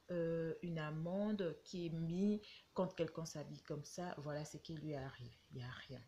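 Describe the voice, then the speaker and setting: A woman vlogger with a cold, talking casually to camera and giving her view with slow, hesitant, reflective phrasing. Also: lowish voice, mellow. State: has a cold.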